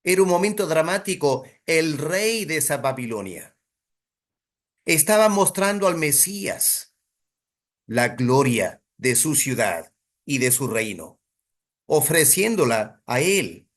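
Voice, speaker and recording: A man teaching a Bible study in a deliberate, emphatic sermon style, putting rhetorical weight on his phrases. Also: fairly high pitch, big pitch swings.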